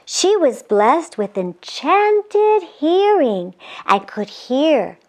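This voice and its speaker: A woman reads a children's storybook aloud at an unhurried pace. Her high voice swings widely in pitch as she narrates expressively, slipping in playful asides to her young listeners. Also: big pitch swings, darkish tone.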